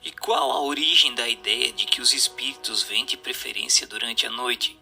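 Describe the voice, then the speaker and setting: A man's voice: medium pitch, moderate pace, creaky, pushed and bright. A man with a Brazilian accent reading scripted questions as a narrator. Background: music.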